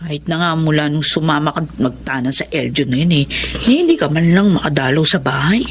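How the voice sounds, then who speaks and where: An actress playing a stern, protective middle-aged aunt in a radio drama, indignant and full of exclamations. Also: female voice, deep voice, lively intonation, brisk, background noise. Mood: stern, urging. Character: stern, protective aunt who raised her niece.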